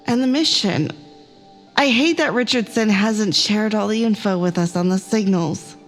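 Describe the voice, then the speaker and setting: A woman's voice: medium pitch, normal speed, faint music behind, natural voice. A woman playing an anxious, headstrong academic on a space crew in an audio drama, sweary and argumentative. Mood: worried.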